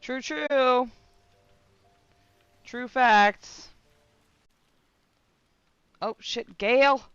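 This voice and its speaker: A woman game streamer giving casual live commentary, playful and expressive, swearing casually. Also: high-pitched, extremely slow, long pauses, clear, resonant tone, no echo, lo-fi sound. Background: video game music.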